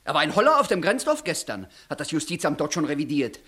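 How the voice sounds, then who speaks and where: An actor playing a sly, needling, officious court clerk, declaiming pointedly with a husky voice in a 1960s German radio verse comedy. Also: male voice, a little high, quick pace, exaggerated voice. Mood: insistent. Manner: pointed, mocking, animated declamation.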